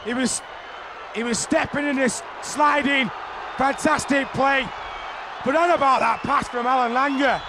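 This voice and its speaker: A man giving excited play-by-play commentary on a rugby league match, often shouting over the action. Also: extremely high pitch. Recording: archive broadcast with crowd noise.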